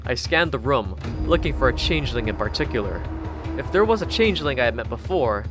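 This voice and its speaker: A man's voice: mid-range voice, very animated, a little fast. A man reading scripted first-person fiction as a storyteller. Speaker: audio story narrator. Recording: loud music bed underneath.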